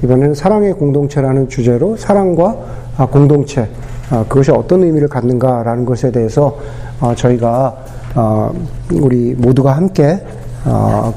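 A man preaching a sermon as pastor, his voice hoarse from a cold, talking unhurriedly with many pauses and fillers. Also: fairly high pitch, dark tone, slight background noise, low-quality recording.

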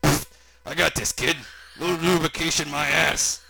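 A man's voice: fairly high pitch, steady tone, measured pace, vocal fry, pushed and bright, voice pushed up, heavily acted, rough recording. A man voicing a brash, crude older American uncle with an American accent and a folksy, boastful manner. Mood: cocky.

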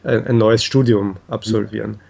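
A man answering in an interview, explaining things in a halting, measured way with frequent hesitations. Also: lowish voice, fairly even intonation, gravelly, a little mellow, dull audio.

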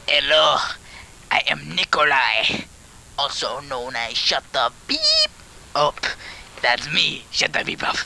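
A man's voice: fairly high pitch, wildly swooping pitch, slow, gravelly, sharp tone, slight background noise, heavily acted. A man voicing a blustering Soviet villain who boasts about blowing things up, in broken put-on Russian-style English, rambling and giggling in an improvised home tape skit. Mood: boastful. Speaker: comedy character on a home tape.

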